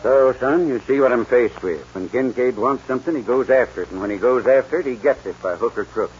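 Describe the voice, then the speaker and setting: A man's voice: medium pitch, a little fast, a little mellow, soft background music, natural voice. An actor playing a proud, stubborn aging rancher father in a 1940s radio western, with a folksy American drawl and a stammer when moved. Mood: earnest. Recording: noisy muffled 1940s radio recording.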